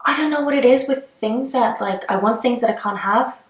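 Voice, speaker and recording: A woman's voice: fairly high pitch, fairly quick. A young woman from Estonia, a native Estonian speaker, chatting casually in a vlog where she answers questions about herself, gushing and rambling with fillers and trailing sentences. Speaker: beauty vlogger. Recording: echoey room.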